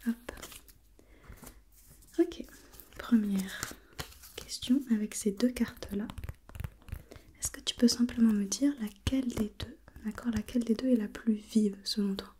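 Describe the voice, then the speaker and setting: A woman whispering breathily in an ASMR role play, gently putting questions step by step as an examiner and softly repeating herself. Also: fairly high pitch, steady tone, a little slow, long pauses, a little hiss or hum. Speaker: examiner in a role-played visual test.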